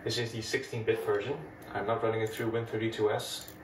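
A man with a Dutch accent walks through an old machine in a relaxed, chatty way, his voice sitting a little low. He sounds set back from the mic in a roomy, echoing space, with a faint hum of room noise behind him. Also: fairly quick. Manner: relaxed, chatty, explains step by step. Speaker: retro computing video host. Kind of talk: casual hardware demo narration. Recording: echoey room, mic at a distance.

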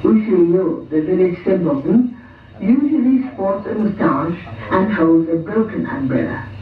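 A man with a high voice formally announces a stage show in measured, scripted phrases. The sound is heavily reverberant and picked up from far away, with a thin, phone-like tone. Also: expressive. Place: theatre, recorded from the audience over speakers. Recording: very echoey, tinny, distant hall PA with noise.

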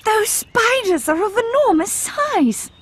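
A spirited young lady with a strikingly high voice. She is tart, haughty and quick to take command, in game cutscene style, with noisy ambience around her. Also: big pitch swings, exaggerated voice. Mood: exasperated. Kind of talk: scripted video game cutscene acting.

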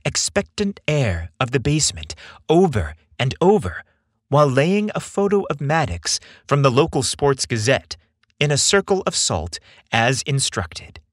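Male narrator, slow measured storytelling, animated pitch, theatrical phrasing, dry close sound. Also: no room sound. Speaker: podcast storyteller. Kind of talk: scripted narration, dramatic storytelling.

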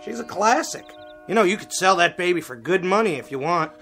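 High-voiced, blunt working guy. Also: animated, fairly quick, bright, forceful tone, soft background music, heavily acted. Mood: plain, matter-of-fact. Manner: slangy, blunt, working-class talk. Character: blunt, slangy working-class regular guy. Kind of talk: radio drama acting.

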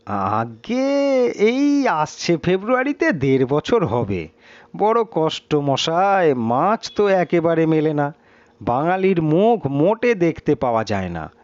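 A man's voice: on the high side, sing-song, slow pace, no room sound, highs cut off. A man voicing a hospitable, courteous middle-aged stationmaster in an audio story. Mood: wistful, friendly. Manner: effusive, courteous.

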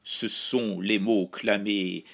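Homme récitant une épopée, débit lent. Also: male voice, lowish voice, fairly even intonation, gravelly, fairly bright tone. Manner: measured, declamatory delivery in short phrases. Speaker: poetry reciter. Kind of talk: solemn recitation of epic verse.

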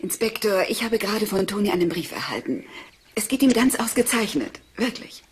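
An actress playing a cool, proud, guarded wife in a German crime drama, speaking in a clipped, defensive way. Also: female voice, mid-range voice, sing-song, a little fast, breathy edge. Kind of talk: German dubbed TV crime drama as radio play.

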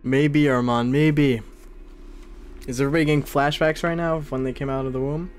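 A man in his twenties giving casual, excitable and jokey live commentary as he plays a video game. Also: fairly high pitch, fairly quick, faint music behind. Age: 20s. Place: small room indoors at a gaming setup.

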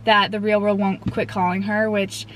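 A woman's voice: medium pitch, flat delivery, moderate pace, fairly bright tone, a little hiss or hum, poor audio. Chatty young woman vlogging from a car. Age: 20s. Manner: chatty, lots of "like" and "um". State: slightly hoarse, getting a cold. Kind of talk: casual chat to camera. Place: parked car. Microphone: camera mounted on dashboard.

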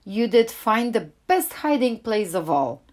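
A woman's voice: fairly high pitch, very animated, unhurried, voice pushed up. Woman as a lilting, cheerful storybook mother duck. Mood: calling out. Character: cheerful, caring mother duck playing hide-and-seek.

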